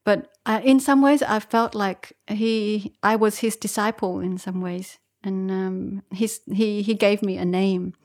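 Female guest, nun from Vietnam raised in Australia. Reflective personal storytelling, halting delivery, mid-range voice. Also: mid-range voice, fairly even intonation, darkish tone. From born in Vietnam, grew up in Australia from age eight. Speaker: podcast guest, Buddhist nun.

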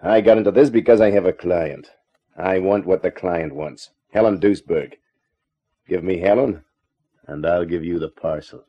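Cool gumshoe: deep, husky, laconic, 1950s radio crime serial. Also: male voice, normal speed. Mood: defiant, cool. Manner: laconic, unflappable. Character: cool, wisecracking hardboiled American private eye. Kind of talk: 1950s radio crime drama, first-person narration and dialogue. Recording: dull old radio recording.

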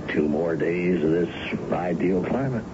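A man's voice: low-pitched, a little slow, over loud music. An actor playing a genial, indulgent father and company boss in his fifties, in a 1970s American radio drama. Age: 50s. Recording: dull, noisy 1970s radio broadcast.

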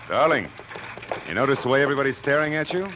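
A man plays a swaggering frontier gunslinger and charming husband with a mid-pitched, highly animated voice. He is cocky and teasing in old radio western style, on a dull vintage recording. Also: quick pace, natural voice. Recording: dull, slightly noisy vintage radio broadcast.

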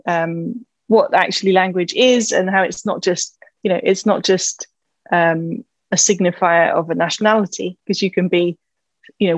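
An enthusiastic woman chatting about language in a conversational podcast. Her voice sits at an ordinary pitch and she fills her sentences with "you know". The recording is dry and close, with no room sound. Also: no room sound, dull audio. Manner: enthusiastic, uses many fillers like "you know".

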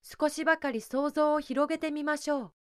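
A woman's voice: high-pitched, fairly even intonation, brisk, no echo, studio quality. A woman narrating a historical audio drama in a formal, scripted storytelling manner.

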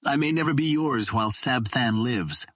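A man's voice: medium pitch, normal speed, fairly bright tone, no echo, clean studio sound, voice pushed up, natural voice. A man reading an audiobook, voicing a proud young alien princess who speaks with sorrow and resignation. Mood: hopeless, firm. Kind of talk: dramatic audiobook dialogue.

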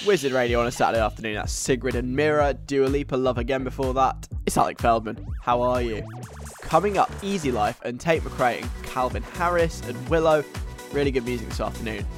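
A man's voice: medium pitch, a little fast, over music. A British man hosting a radio show in a casual, chatty, wry and self-deprecating conversational way.

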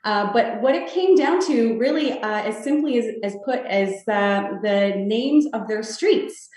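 A woman in her thirties answers podcast questions in an earnest, halting way, her thoughts rambling and circling back. The sound is very echoey, with the mic set well away from her. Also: on the high side, animated, a little mellow. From Canada (Toronto). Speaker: real estate sales guest. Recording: very echoey room, distant mic.

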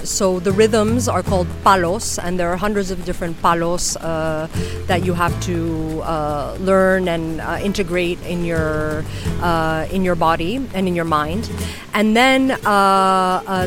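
A woman with a somewhat low voice talks through her answers slowly, pausing often with ums and uhs. She speaks as a guest in a relaxed outdoor interview. Music plays clearly behind her. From United States. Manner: thoughtful, many ums and uhs. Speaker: flamenco dancer, guest. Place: outdoors on a beach.

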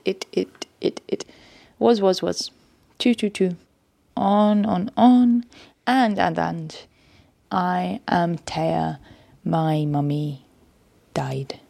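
A woman talking reflectively and haltingly in an intimate unscripted documentary. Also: fairly low pitch, expressive, creaky, a little mellow, no echo.

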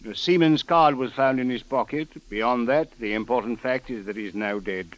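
An actor playing a stern, sardonic police official with a formal, admonishing manner in a 1940s radio drama. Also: male voice, mid-range voice, normal speed, no room sound, close to an everyday voice. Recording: dry, muffled old radio recording.

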